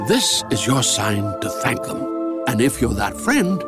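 A man's voice: medium pitch, very animated, slow pace, loud music behind the voice. A man reading a scripted radio advertisement as a voice-over. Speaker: commercial voice-over.

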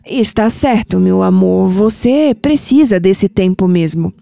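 Kindly old Brazilian grandmother played by a woman, slow and mellow, audio drama with music bed. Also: mid-range voice, gravelly, no room sound. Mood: tender, calm. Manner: tender, reassuring, unhurried. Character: kindly old grandmother who reads cards. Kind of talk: scripted audio drama acting.

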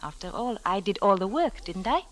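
A woman's voice: medium pitch, animated, fairly quick, natural voice. An actress playing a cool, scheming mystery woman, formal and commanding, in 1940s radio style. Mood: cool, haughty.

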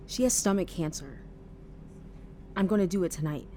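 An actress playing a naive, earnest and oddly frank young person in an audio drama, guileless and matter-of-fact. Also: female voice, lowish voice, expressive, moderate pace, dark tone, a little hiss or hum, close to an everyday voice.

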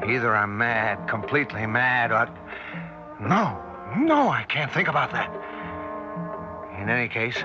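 A man's voice: medium pitch, close to an everyday voice. Actor as haunted man, muffled vintage radio. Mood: shaken, despairing. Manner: tense, dramatic first-person storytelling. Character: haunted man recounting a terrifying alien encounter. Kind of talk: 1960s radio drama narration. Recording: muffled old radio recording with music.

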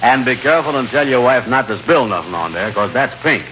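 An actor playing a gullible, slow-witted friend in a 1940s radio comedy, asking naive questions in folksy grammar. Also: male voice, mid-range voice, lively intonation, close to an everyday voice. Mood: agreeable. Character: gullible, slow-witted male friend, easily talked round. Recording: muffled, noisy old radio broadcast recording.

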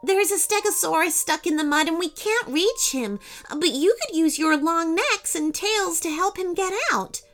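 A woman's voice: very high-pitched, expressive, moderate pace, hardly any pauses, a bit bright, soft background music, voice pushed up, heavily acted. A woman playing an eager, clever young girl in a children's story, earnest and childlike.

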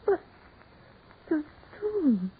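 An actress playing a fragile, frightened woman in 1940s radio horror, with halting, melodramatic pleading. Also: high-pitched, big pitch swings, full character voice. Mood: stunned dread. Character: frightened, fragile woman gripped by supernatural dread. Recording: scratchy, tinny 1940s radio recording.

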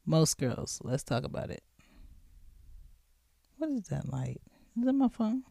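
Woman, 30s, podcast host, blunt and emphatic, profane, low-pitched, dry recording. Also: sing-song, unhurried, gravelly, warm tone, no room sound. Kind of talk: casual monologue.

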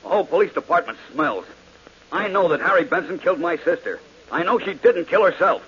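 An angry grieving brother. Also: male voice, fairly high pitch, lively intonation, brisk, a bit bright, slight background noise. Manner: insistent, pushy. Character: angry grieving brother demanding justice, man. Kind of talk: 1940s radio acting. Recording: dull old radio recording.

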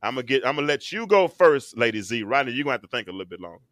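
A man hosting a casual talk show, his voice bright and lively, recorded dry and close. He talks in bursts, repeating and restarting his phrases, and there is a touch of bass underneath. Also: very fast, no room sound. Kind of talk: casual chat.

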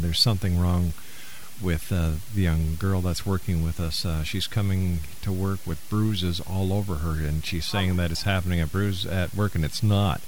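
A man's voice: deep voice, a little hiss or hum, poor audio. A man hosting a radio talk show, speaking in a conversational interviewing style. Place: radio studio.

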